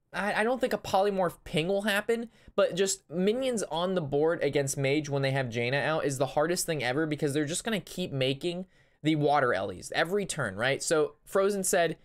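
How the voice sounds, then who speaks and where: A young man casting and reviewing a card game match, excitable and analytical, his voice strained from talking a long time. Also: fairly high pitch. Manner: excitable, analytical, talks through plays. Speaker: Hearthstone caster reviewing a match. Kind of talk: casual game commentary.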